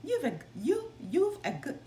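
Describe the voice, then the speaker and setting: A woman voicing a friendly, persuasive, flattering tradesman in a storybook read-aloud. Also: very high voice, unhurried, voice pushed up, heavily acted. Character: friendly, persuasive male knife grinder trading shrewdly. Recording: roomy, with clear background noise.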